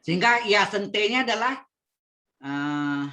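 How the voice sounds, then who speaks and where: A man leads an online calculus class. He repeats each step slowly and keeps checking in with his students over the call. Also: high-pitched, expressive, bright, forceful tone. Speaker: lecturer. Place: home, video call. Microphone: computer mic on video call.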